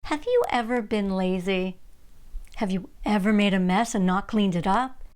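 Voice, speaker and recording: A woman reading a children's picture book aloud as an expressive storyteller. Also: mid-range voice, normal speed, clear, resonant tone. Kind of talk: expressive scripted read-aloud narration for children.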